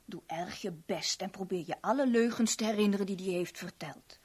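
A brazen, flirtatious mama of the underworld from a 1970s radio drama, played by an actress. Her medium-pitched female voice coos and coaxes. She sounds motherly and openly suggestive at once. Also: brisk, darkish tone, slightly rough, lightly acted. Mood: seductive, coaxing. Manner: cooing, suggestive, coaxing.

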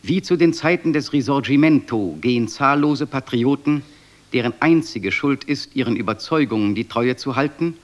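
A grave lodge orator played by an actor denounces tyranny in a radio drama. His medium-pitched voice is solemn and accusing, in a slightly dull recording. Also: natural voice. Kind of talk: German radio drama acting.

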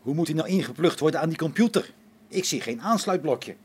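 Grumbling sarcastic space technician, acted by a man, animated. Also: fairly high pitch, a little fast, breathy edge. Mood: mocking. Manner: sarcastic, exasperated complaining. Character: grumbling, sarcastic male space-station technician wary of new robots. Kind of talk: Dutch radio play acting.

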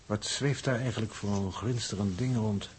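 A man playing a sober, earnest spaceship navigator in a 1960s science-fiction radio play, measured and matter-of-fact. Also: on the low side, fairly even intonation, darkish tone, slightly rough, rough recording. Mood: curious, thoughtful. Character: sober, observant human spaceship navigator, earnest and level-headed.

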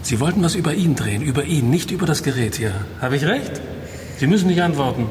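An actor playing a brooding, cryptic writer in a radio drama, musing aloud and speaking in riddles. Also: male voice, a little high, expressive, fast, a little mellow, slight background noise, close to an everyday voice. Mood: probing. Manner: musing, probing, gives oracular answers. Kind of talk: German radio drama acting.

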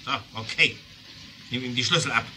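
A man's voice: medium pitch, normal speed, sharp tone, slight background noise. An actor playing a hard male leader of a masked mob in a German adventure audio drama, barking curt orders. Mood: terse, commanding.

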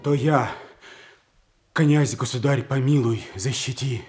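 An actor playing a frightened merchant, agitated and imploring, in a theatrical radio play. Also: male voice, medium pitch, slow pace, darkish tone, faint music behind, natural voice. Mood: alarmed. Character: frightened, pleading merchant bringing bad news.

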